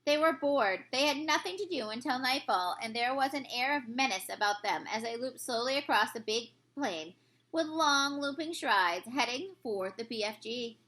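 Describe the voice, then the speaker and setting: High, bright woman reading a storybook. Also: expressive, moderate pace, rough recording. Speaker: teacher reading aloud. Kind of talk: children's storybook narration.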